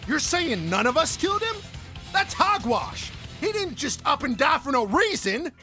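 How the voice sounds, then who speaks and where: An actor playing a pompous, indignant character who blusters with incredulity in an audio drama. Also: male voice, very high-pitched, sing-song, normal speed, pushed and bright, faint music behind, full character voice. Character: blustery, indignant believer with old-fashioned words.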